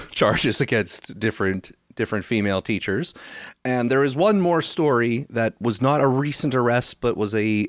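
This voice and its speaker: A man hosting a podcast, talking casually and thinking aloud in a halting, rambling way, often rephrasing mid-sentence. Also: on the low side, dry recording, studio quality. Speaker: podcast host.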